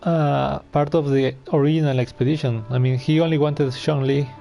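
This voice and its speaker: A man's voice: medium pitch, steady tone, normal speed, gravelly, mellow, faint music behind. A man giving casual, slangy gameplay commentary as a streamer, muttering his reactions. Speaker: game streamer.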